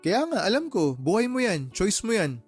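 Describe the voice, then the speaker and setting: A man's voice: high-pitched, big pitch swings, normal speed, slightly rough, faint music behind, exaggerated voice. A man playing a sensible, frank younger brother who chides in a Filipino radio drama. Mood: reproving. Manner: frank, chiding, matter-of-fact.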